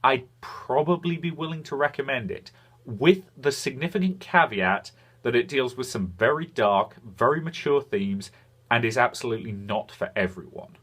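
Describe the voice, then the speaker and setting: A man's voice: medium pitch, expressive, normal speed, a bit bright, breathy edge. A British man narrating a scripted game review in formal, wordy phrasing.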